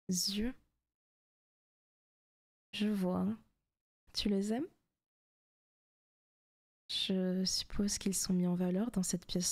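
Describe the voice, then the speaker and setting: A woman playing a seductive, possessive demon in a soft, teasing ASMR roleplay, often slipping into whispers. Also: mid-range voice. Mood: coaxing, tender. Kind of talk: ASMR roleplay, intimate scripted monologue.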